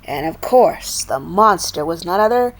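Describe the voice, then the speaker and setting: A woman reading a children's story aloud in a deliberate, dramatic manner. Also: lowish voice, animated, low-quality recording.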